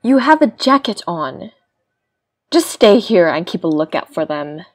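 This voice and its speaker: A woman's voice: on the low side, very animated, measured pace. A woman playing a bossy, scheming young woman in an audio drama, curt and commanding.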